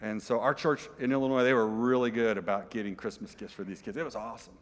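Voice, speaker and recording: A man's voice: mid-range voice, steady tone, quick pace, long reverb tail. A man preaching a sermon to his congregation, chatty and joking, often asking the listeners questions. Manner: conversational, jokey, asks the congregation questions. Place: church sanctuary.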